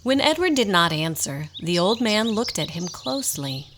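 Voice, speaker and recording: A woman narrating a scripted radio drama in an expressive storybook style. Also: mid-range voice, moderate pace, a little hiss or hum.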